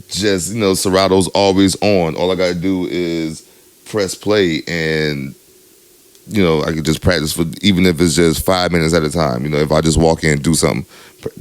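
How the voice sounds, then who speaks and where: A young adult man with a very deep voice, co-hosting a podcast in casual chat, rambling with lots of fillers. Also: clean tone, no echo. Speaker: podcast co-host, DJ.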